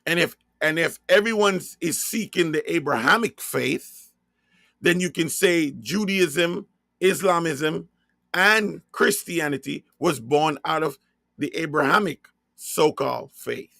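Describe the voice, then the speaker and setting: A man from Jamaica with a Jamaican accent, preaching in a slow sermon-style monologue and hammering his points home by repeating and spelling out key words. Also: fairly high pitch, creaky, bright, forceful tone, dry recording, clean studio sound.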